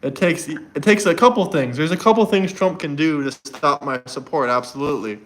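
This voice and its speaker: Chatty young American man, slightly high voice. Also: moderate pace, a bit bright. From Florida, United States. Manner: loose, conversational, repeats himself. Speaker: livestream host. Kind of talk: casual livestream chat, reading viewer comments. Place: indoors in Montenegro.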